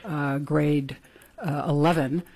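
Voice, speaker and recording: A woman's voice: low voice, fairly even intonation, slow, darkish tone, breathy edge. A middle-aged woman from Venezuela, a political candidate, talking about her life with self-deprecating jokes. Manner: self-deprecating, joking, fluent. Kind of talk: interview guest.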